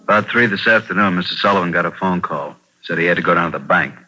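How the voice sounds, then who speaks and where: A man's voice: low-pitched, fast, bright, forceful tone, slightly rough, full character voice, poor audio. A man acting as an earnest policeman giving a report in a 1940s radio drama. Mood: explaining. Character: explaining, earnest male police guard giving his report.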